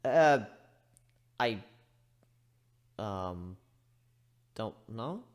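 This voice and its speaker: A man's voice: fairly low pitch, lively intonation, extremely slow, pitched below the performer's own voice. A man voicing an awkward, insecure male griffin in a dramatic story reading, emotional and sarcastic. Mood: flustered.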